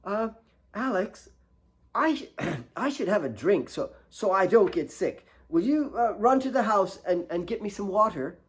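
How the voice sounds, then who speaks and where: A man's voice: sits high, big pitch swings, unhurried, pitched above the performer's own voice. A man voicing a demanding, impish nine-year-old girl in a story reading, whiny and insistent. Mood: coaxing.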